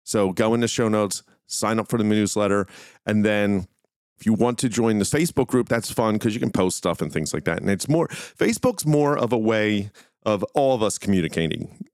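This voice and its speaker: A man's voice: lowish voice, lively intonation, normal speed, a little mellow, clean tone, no room sound, studio quality. A man hosting a podcast, talking casually and informally to his listeners in a rambling, conversational way. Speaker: podcast host. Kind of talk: casual solo talk to listeners.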